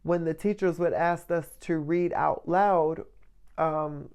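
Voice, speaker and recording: A man with a light, soft voice giving a casual motivational monologue as a vlogger, often checking in with the listener. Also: a little high, steady tone, slow pace, clean tone. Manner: conversational, checks in often with "right?" and "you know".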